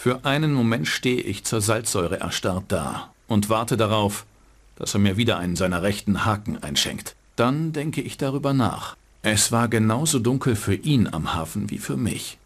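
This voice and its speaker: Wry noir detective, gravelly low voice, lively swings in pitch, dry sardonic game dub. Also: male voice, normal speed. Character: hard-boiled male private detective, wry and cynical. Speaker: narrating game protagonist. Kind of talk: dubbed game voice acting.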